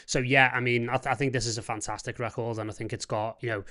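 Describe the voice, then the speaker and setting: A man with a softened Scouse accent, hosting a live music feedback stream in enthusiastic casual chat. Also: mid-range voice, steady tone, quick pace. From Liverpool, England. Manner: enthusiastic, leans on "you know" and "mate". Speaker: host of a music feedback show.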